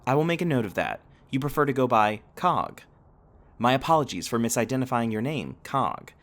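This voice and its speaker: A man's voice: medium pitch, very animated, fairly quick, fairly bright tone, soft background music. A man playing a cheerful, literal-minded robot companion in a scripted sci-fi roleplay, speaking in formal, precise, over-explaining sentences. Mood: friendly, earnest.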